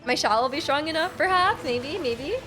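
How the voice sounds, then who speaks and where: Giggly, higher-pitched woman livestreaming a game. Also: animated, normal speed, music in the background. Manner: giggly, hesitant, rambling, repeats herself. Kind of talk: casual live stream chat with viewers while playing. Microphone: close streaming microphone.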